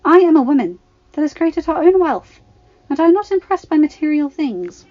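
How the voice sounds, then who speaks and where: A woman's voice: high-pitched, sing-song, normal speed, muffled recording, voice pushed up. A woman voicing a proud young female senator in an audio story, formal, firm and icy. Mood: stern, cold. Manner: stern, formal.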